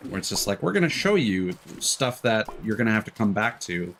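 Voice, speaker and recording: A man's voice: fairly low pitch, sing-song, a little fast, a bit bright, slight background noise. A man doing casual live game commentary, rambling candidly and swearing offhandedly. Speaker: game streamer. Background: game music.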